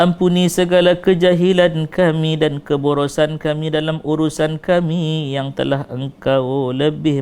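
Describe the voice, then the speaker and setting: Malaysian Malay man leading a pleading prayer, smooth voice, fairly level pitch. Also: darkish tone. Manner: pleading, rising and falling recitation with repeated invocations. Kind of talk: emotional supplication prayer.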